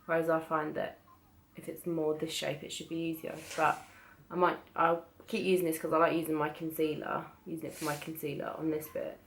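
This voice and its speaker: A British woman with a croaky voice from being ill, chatting casually through a beauty haul with lots of hesitant restarts. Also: low-pitched, flat delivery, fairly quick, a little hiss or hum. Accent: British English. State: croaky from illness. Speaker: beauty vlogger. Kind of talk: casual product haul chat.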